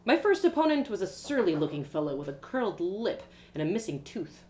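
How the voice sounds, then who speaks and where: A woman reading a first-person adventure story aloud in a dramatic, expressive way. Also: mid-range voice, a little fast, slight background noise. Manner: dramatic, animated, with exclamations and sighs. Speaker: author reading her own story aloud.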